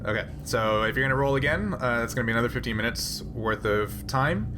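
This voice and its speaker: A man's voice: medium pitch, fairly quick, music in the background. A man acting as game master for a tabletop sci-fi game, explaining things casually and a bit hesitantly.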